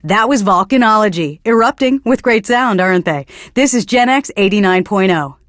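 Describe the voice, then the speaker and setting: An actress playing a young, earnest and smugly pretentious hipster DJ in a video game, talking between songs. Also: female voice, medium pitch, lively intonation, normal speed, no room sound, clean studio sound, lightly acted. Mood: dreamy, enthusiastic. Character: pretentious young hipster indie radio DJ woman, earnest and smug. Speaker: radio DJ. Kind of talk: radio DJ patter between songs.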